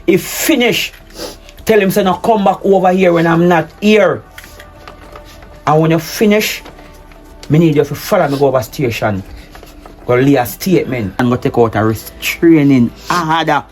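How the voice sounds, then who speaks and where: A man playing a hurt, betrayed husband, speaking low and measured, then forcefully. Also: soft background music, lightly acted. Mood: stern. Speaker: husband in a domestic drama skit. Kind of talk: improvised-sounding skit acting.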